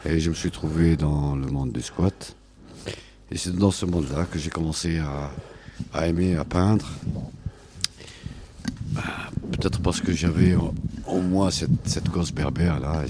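A man from Tunisia, a painter living in a squat, answering an interviewer earnestly with halting restarts. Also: very deep voice, steady tone, noisy background.

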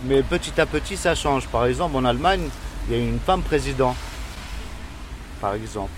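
A man's voice: mid-range voice, lively intonation, normal speed. Plain-spoken man, faint traffic behind. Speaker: street interviewee. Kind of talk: spontaneous, simple explanation. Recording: faint outdoor traffic noise. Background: cars on a street.

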